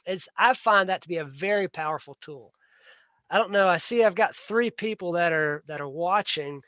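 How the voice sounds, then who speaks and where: Earnest high-voiced man, dry sound. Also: moderate pace, bright, forceful tone, no room sound. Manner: earnest, repeats words as he restarts sentences; plain-spoken and blunt. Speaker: livestream host. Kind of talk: casual solo livestream talk.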